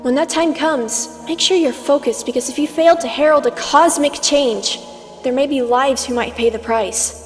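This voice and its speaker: A woman's voice: high voice, moderate pace, lightly acted. A woman playing a condescending, teasing mentor, an immortal aspect of Twilight in a comic dub, lecturing in a theatrical, sardonic way. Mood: stern. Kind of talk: scripted fan comic dub acting. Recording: long reverb over music.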